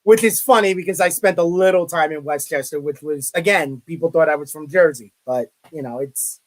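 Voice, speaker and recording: A man's voice: fairly high pitch, brisk. A middle-aged man with a Brooklyn accent, a guest chatting casually in an interview and often repeating his words. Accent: Brooklyn New York. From Brooklyn, New York. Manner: chatty, repeats words, self-deprecating asides. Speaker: guest, college media relations director.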